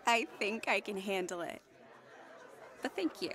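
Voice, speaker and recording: An actress playing a kind, gently teasing young woman in a radio drama. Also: medium pitch, expressive, measured pace, a faint crowd. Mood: friendly. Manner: friendly, playful.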